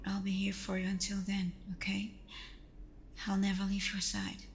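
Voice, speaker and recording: A woman playing a gentle, protective vampire girlfriend in an ASMR roleplay, speaking softly and often close to a whisper, soothing and unhurried. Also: mid-range voice, completely flat delivery, a little hiss or hum, close to an everyday voice. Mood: tender, reassuring. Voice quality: soft, breathy, often near whisper.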